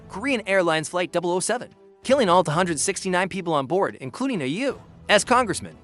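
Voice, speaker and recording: A man's voice: high voice, a bit bright. A man reading scripted history narration in a grave, suspenseful, documentary storytelling manner.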